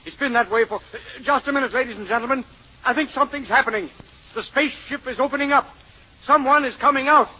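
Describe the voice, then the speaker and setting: A man's voice: very high-pitched, fast talker, pushed and bright, slightly rough, full character voice. An actor playing a tense male news reporter on the scene in a 1950s radio drama, announcing in an urgent, clipped way. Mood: excited, urgent. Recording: dull old radio recording, faint noise.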